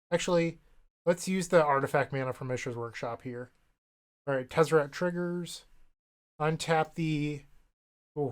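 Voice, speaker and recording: A young man with a slight cold, casually commenting on his own card game play, thinking aloud with wry asides, recorded at a home desk setup. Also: a little high. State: sniffly from a cold. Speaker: gaming content creator.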